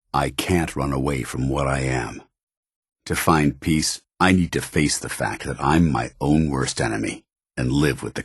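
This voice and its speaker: An actor playing a stoic, honour-bound android soldier in a video game, tormented yet earnest, with formal military diction. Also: male voice, rumbling low, slightly rough, no room sound, clean studio sound, exaggerated voice. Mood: resigned, grave. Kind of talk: video game companion dialogue acting.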